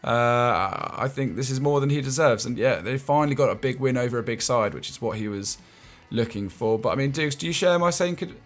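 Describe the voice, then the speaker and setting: A man's voice: medium pitch, brisk, soft background music. Chatty man stammering through football analysis. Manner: stammering restarts and chuckling asides. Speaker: football show host. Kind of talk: casual football analysis chat.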